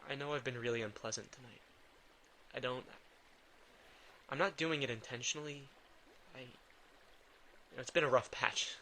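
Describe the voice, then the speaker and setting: Brooding male vampire for audio drama, played by a man: forward, bright voice, halting and defensive, clear background noise. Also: medium pitch, fairly even intonation. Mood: subdued, guilty. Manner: halting, defensive, stammering under pressure. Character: brooding, drained, guilt-ridden reluctant male vampire. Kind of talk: intimate audio drama acting.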